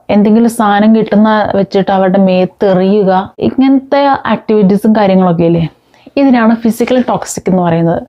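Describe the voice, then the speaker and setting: A woman's voice: mid-range voice, highs cut off. A woman talking informally to viewers, explaining with examples and mixing in English words. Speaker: host of a parenting talk.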